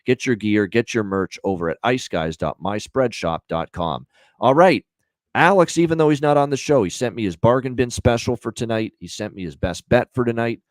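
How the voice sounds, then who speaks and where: A man's voice: mid-range voice, normal speed, dry recording. A man hosting a sports betting show, reading promos and picks with lots of "uh" fillers and repetition. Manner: energetic, repeats himself, many "uh" fillers. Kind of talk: solo podcast promo and picks.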